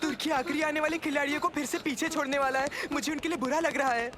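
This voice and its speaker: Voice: high voice, fairly even intonation, fast, background noise, natural voice. A performer voicing an earnest, concerned young boy in a cartoon, talking in a matter-of-fact way. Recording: loud music behind.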